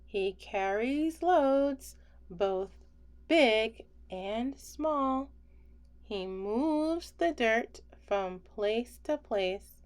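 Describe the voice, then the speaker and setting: Very slow-paced woman reading a bedtime story. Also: a little high. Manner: gentle, deliberate phrasing with hushed goodnights. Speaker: children's book read-aloud host. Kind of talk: slow, expressive bedtime picture-book reading.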